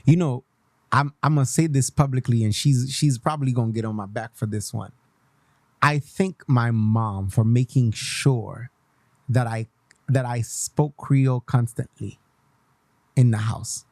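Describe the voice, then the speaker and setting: A man's voice: medium pitch, a little slow, a little mellow, no echo. A young man from Haiti, hosting a radio show in a casual, passionate way, switching between English and Creole and repeating himself for emphasis. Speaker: radio host.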